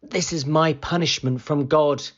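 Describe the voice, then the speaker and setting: A remorseful, earnest prophet, a grown man, as a storybook reader plays him, read slowly on a dull lo-fi recording. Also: on the high side, fairly even intonation.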